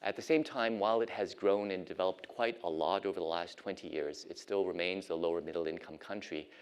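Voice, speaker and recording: A middle-aged American man from Ohio giving an academic lecture as a physician and professor, measured and pausing with frequent ums and uhs. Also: sits low, clear, resonant tone. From United States (Ohio).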